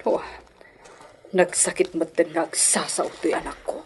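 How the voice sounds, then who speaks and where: Elderly mother-in-law, high voice, plaintive, scolding, self-righteous, radio drama. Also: unhurried. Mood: wounded, plaintive. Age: older.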